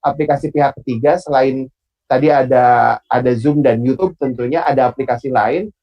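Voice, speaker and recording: A man with a Sundanese accent hosting an online public speaking class, relaxed and pausing often with fillers. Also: medium pitch, highs cut off. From Bandung, Indonesia. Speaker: host and moderator.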